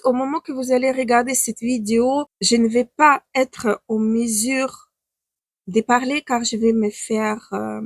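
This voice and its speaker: A young woman with a Ukrainian accent, chatting casually about eyeshadow palettes on her beauty channel, pausing often with "euh" and restarting her sentences. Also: fairly high pitch, no echo. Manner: chatty, with frequent hesitations and restarts. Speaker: beauty vlogger. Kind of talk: casual makeup review.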